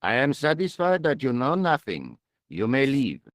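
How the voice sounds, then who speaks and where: Game role: pompous French inspector, weary yet theatrical, with very animated pitch. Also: male voice, dry recording, clean studio sound. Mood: dismissive, grave. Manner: theatrical, deliberate. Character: pompous, world-weary male French police inspector with eccentric theories. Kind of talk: video game voice acting.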